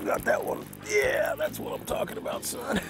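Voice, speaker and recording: A middle-aged man hosting a fishing show, explaining tackle in a folksy way from a bass boat on an open lake. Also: normal speed, creaky, loud music behind the voice. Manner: folksy, excited when landing fish. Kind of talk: outdoor fishing instruction.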